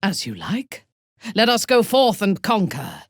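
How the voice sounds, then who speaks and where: A woman playing a proud, ruthless, seductive aristocratic villain in a video game, speaking in an imperious, deliberate, softly menacing way with a husky, breathy voice. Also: mid-range voice, huge pitch swings, no room sound, clean studio sound. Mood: cold, imperious. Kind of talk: dramatic video game voice acting.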